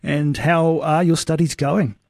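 A man interviewing a guest on community radio, asking friendly, measured questions. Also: fairly high pitch, flat delivery, vocal fry, darkish tone, dry recording, studio quality.

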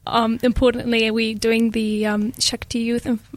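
A woman's voice: on the high side, flat delivery, no echo. A young woman from Iraq, a guest on a radio show, speaking eagerly with ums and restarts. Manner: eager, halting with fillers. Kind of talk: casual radio interview. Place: radio studio.

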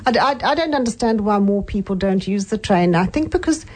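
A woman's voice: mid-range voice, animated, brisk. An older woman with a South African accent, a guest chatting and reminiscing in an interview. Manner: reminiscing, with soft hums of agreement. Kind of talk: casual interview chat.

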